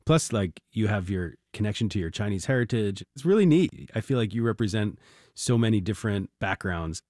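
Male host, lowish pitch, polished and encouraging, dry close mic. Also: animated, fairly quick, a little mellow, no room sound. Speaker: radio show host. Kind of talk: broadcast interview. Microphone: studio mic.

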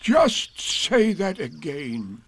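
A slow, gloomy old donkey. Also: male voice, a little high, big pitch swings, vocal fry, fairly bright tone, breathy edge, pitched above the performer's own voice, heavily acted. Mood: gloomy, sardonic. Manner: ponderous, drawn-out, sardonic.

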